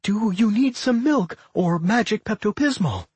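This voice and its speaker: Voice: mid-range voice, sing-song, a little slow, a little mellow, slightly rough, no room sound, voice pushed up, heavily acted. A narrator voicing a protective, fretful young winged female creature in a fantasy audiobook, urgent and scolding. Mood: worried.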